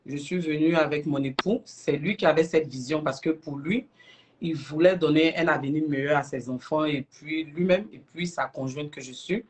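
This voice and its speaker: A middle-aged woman with an Ivorian accent, speaking earnestly and deliberately as a guest in a livestream interview. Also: deep voice. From Ivory Coast. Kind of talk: explanatory interview talk on a livestream.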